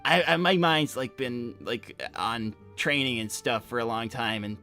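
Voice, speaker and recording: A man's voice: mid-range voice, measured pace, sharp tone, faint music behind. A man playing a naive, earnest teenage boy, stammering and hesitant, in an improvised in-character livestream. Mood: flustered. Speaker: co-host answering viewer messages.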